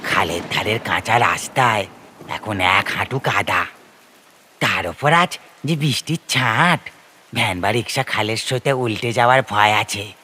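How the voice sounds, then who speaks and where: A man playing a rustic tea seller in an audio horror story, blunt and cautioning, with a rough, husky voice. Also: fairly low pitch, animated, measured pace, bright, forceful tone, a little hiss or hum. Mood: gloomy warning. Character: rustic male tea seller warning of danger.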